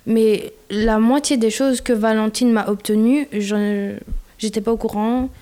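A young woman from Belgium speaks in a casual conversation, her voice fairly high but mellow and soft. She talks candidly, pausing often with fillers as she gathers her thoughts. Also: fairly even intonation, clear, resonant tone. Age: 20s. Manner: candid, hesitant, with many fillers. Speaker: guest.

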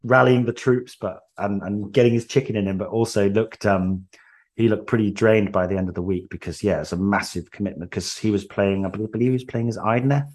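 Lower-pitched male guest from Wales, loose rambling chat, jokey asides. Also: steady tone, brisk, a little mellow. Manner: chatty, rambling, many ums and restarts, jokey. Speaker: podcast guest. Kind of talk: casual chat.

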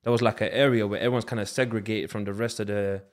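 A man hosts a casual chat. His voice is low and he talks fast. He is chatty and slangy, with quick yeahs in the background. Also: fairly even intonation. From Lithuania.

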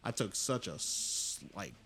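A man hosting a casual podcast, telling stories in an animated way and acting out voices. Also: fairly low pitch, measured pace. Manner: storytelling, animated, acts out voices. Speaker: podcast host.

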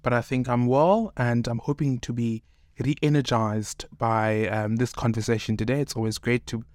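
A young man with a South African accent, a high school teacher speaking earnestly as a podcast guest, peppering his points with "you know". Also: fairly even intonation, normal speed, darkish tone, dry recording, studio quality. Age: young adult. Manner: earnest, opinionated, many fillers like "you know". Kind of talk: casual podcast conversation.

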